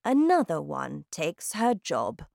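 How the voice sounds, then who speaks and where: A calm old storybook grandmother, played by a woman, sly and reassuring. She speaks slowly and softly in a lowered, slightly creaky voice, with a knowing manner. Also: no room sound, studio quality, heavily acted. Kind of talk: children's storybook character voice.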